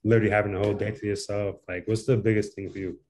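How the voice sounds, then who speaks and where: A man with a lowish, mellow voice hosts a video-call interview. He asks friendly, easygoing questions in a loose, chatty way. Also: little pitch movement, rapid-fire. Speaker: interview host.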